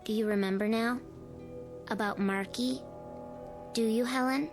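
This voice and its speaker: A woman playing an eerie, knowing little girl in a radio drama, speaking in deliberate, probing short phrases. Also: fairly high pitch, steady tone, over music. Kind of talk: old-time radio drama acting.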